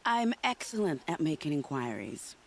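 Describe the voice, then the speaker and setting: Very deep-voiced, sly woman spy. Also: very animated, normal speed. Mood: wry, conspiratorial. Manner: wry, theatrical. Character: sly, worldly woman spy, playful and confident. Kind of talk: video game voice acting.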